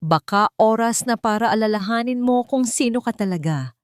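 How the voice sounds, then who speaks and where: An elderly Filipino father as a woman narrator acts him out in a storybook drama. He speaks softly and sadly, counselling without preaching, in a dry, close sound. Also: a little high, normal speed, clear, resonant tone, dry recording, pitched above the performer's own voice, close to an everyday voice. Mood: solemn. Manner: soft-spoken, sorrowful, not preaching. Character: sad, gentle elderly father, a grandfather.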